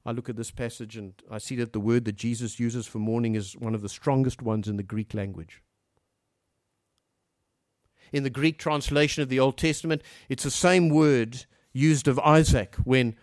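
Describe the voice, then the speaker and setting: A man from Africa preaching a sermon earnestly, posing rhetorical questions and swinging between hushed and emphatic delivery. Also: mid-range voice, dry recording, clean studio sound.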